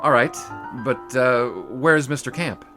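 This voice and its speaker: An actor playing a sarcastic 1920s sports writer, a jilted lover who tosses off quick wisecracks and bits of French. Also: male voice, mid-range voice, fairly even intonation, bright, forceful tone, faint music behind. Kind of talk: 1920s period audio drama.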